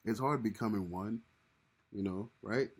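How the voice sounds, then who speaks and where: A man's voice: fairly low pitch, a little slow, husky. A young man co-hosting a casual livestream with his wife, rambling and repeating himself as he restarts his sentences. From Haiti. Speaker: co-host of a married couple's livestream.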